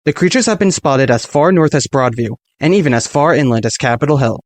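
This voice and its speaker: A man's voice: a little high, animated, a little fast, no room sound, lightly acted. A man acting as an earnest local radio news anchor, reading a bulletin. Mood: serious.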